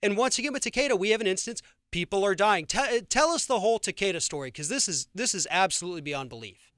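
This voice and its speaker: A man's voice: high-pitched, expressive, quick pace, a bit bright, clean tone, no room sound, studio quality. A man with an American accent hosting a radio interview, speaking emphatically and indignantly, often stammering over repeated words.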